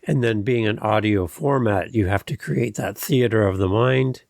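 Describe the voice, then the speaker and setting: A man's voice: fairly low pitch, normal speed, vocal fry, a little mellow, dry recording, clean studio sound. A man hosting a podcast interview in a conversational style.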